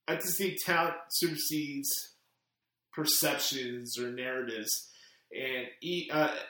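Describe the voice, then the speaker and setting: A man answers listener questions on his podcast, rambling through fillers and restarts. His voice sits at a middle pitch for a man. The recording is very echoey, as if made in a bare, reflective room. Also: fairly bright tone. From Chicago, United States. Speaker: podcast host. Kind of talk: casual podcast Q&A.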